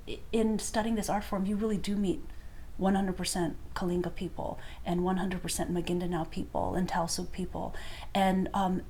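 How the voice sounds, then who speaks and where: A woman speaking reflectively in an interview, pausing often with hesitations. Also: mid-range voice, darkish tone, slight background noise, poor audio. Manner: thoughtful, many hesitations.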